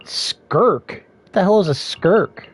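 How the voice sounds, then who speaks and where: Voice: mid-range voice, animated, slow, breathy edge. A game streamer chatting casually while playing, rambling and half muttering to themself. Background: soft game music.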